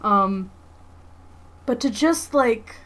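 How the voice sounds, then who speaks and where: A woman's voice: on the high side, expressive, very slow, a bit bright. A woman livestream host chatting casually, thinking aloud in a rambling, emphatic way. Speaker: livestream host, comic artist.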